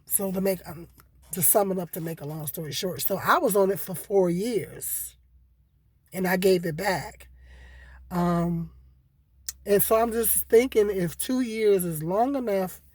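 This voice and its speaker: A hoarse woman with a cold, talking casually and rambling to her viewers with many repeats and fillers. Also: mid-range voice, darkish tone, dry recording. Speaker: vlogger. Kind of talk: casual solo commentary.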